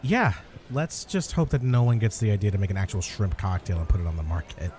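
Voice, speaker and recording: A man's voice: lowish voice, a little fast, darkish tone, natural voice. A man playing a friendly, awkward and chatty cafe customer in a comedy audio roleplay, hesitant and rambling. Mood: chatty, upbeat. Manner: hesitant, rambling, good-natured. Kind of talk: ASMR comedy roleplay acting.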